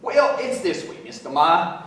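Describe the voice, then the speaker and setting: A wealthy, boastful American businessman in a radio play. He talks in a high-pitched, folksy, garrulous way full of slang. The sound is very echoey and set back from the microphone. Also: very animated, measured pace, pushed and bright, recorded from a distance, exaggerated voice. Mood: chatty, boastful. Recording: very echoey room, off mic.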